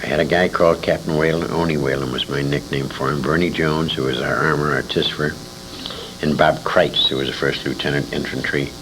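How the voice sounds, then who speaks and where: An American man in his sixties reminiscing as an interview guest, telling anecdotes in an animated way with emphatic repetition. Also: very deep voice, brisk. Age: 60s. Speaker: interview guest, old-time radio veteran. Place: radio convention, live interview. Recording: noisy amateur convention interview tape.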